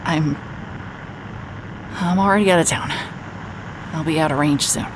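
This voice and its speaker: A woman's voice: low voice, a little slow, background noise. An actress playing a weary, wry, guarded woman investigator in an audio drama, speaking in a hesitant, dry way. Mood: torn, sad.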